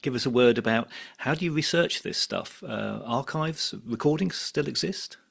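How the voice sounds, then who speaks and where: A man's voice: mid-range voice, animated, moderate pace, vocal fry, a bit bright, husky. A British man hosting a podcast, giving scripted narration and putting questions to a guest. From United Kingdom. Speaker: podcast host and interviewer.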